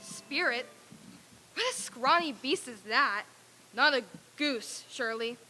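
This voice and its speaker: Woman playing a sharp, high-voiced grumpy old miser. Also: animated, slow, soft background music, exaggerated voice, low-quality recording. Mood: scornful. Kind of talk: old time radio stage reading.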